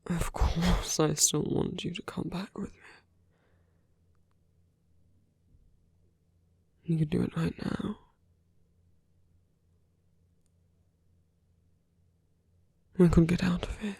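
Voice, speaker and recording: A man playing a soft-hearted villain wooing his heroic enemy in an intimate ASMR roleplay, speaking slowly and deliberately in a breathy hush that often slips into whispers. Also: high voice, fairly even intonation, no room sound, full character voice. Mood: earnest, gentle. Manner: slow, deliberate, hushed, often slipping into whispers. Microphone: close, dry microphone.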